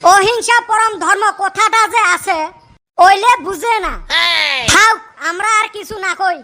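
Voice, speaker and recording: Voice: very high voice, measured pace, bright, forceful tone, full character voice. An actor voicing a cheeky, lazy young son in a comic cartoon, whining and arguing back in a North Bengal accent. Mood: whiny, indignant.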